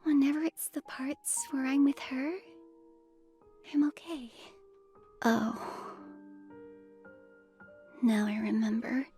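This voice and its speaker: Horror game ghost child: high, slow, hushed, absent-minded and wistful, music beneath. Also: female voice. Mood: dreamy, sad. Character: wistful, lonely ghost of a little girl. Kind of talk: visual novel game voice acting.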